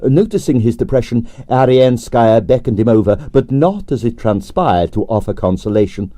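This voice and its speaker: A man's voice: mid-range voice, lively intonation, normal speed, mellow, no room sound. A man reading an abridged comic mystery in a dry, wry storytelling manner. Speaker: narrator. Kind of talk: abridged audiobook narration.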